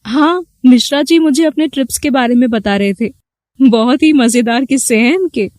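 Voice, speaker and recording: A woman's voice: high-pitched, moderate pace, darkish tone, natural voice. A woman playing a lonely, affectionate young wife in a dramatized story. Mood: cheerful.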